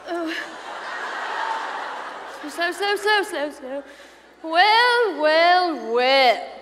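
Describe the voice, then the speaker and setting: Woman playing a pompous high-pitched schoolboy villain. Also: expressive, extremely slow, fairly bright tone, exaggerated voice. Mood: smug, pompous. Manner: pompous, sneering, theatrical drawl. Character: arrogant, spiteful teenage schoolboy bully drunk on power. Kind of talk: comedic staged reading of a musical parody. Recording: reverberant hall, clear background noise.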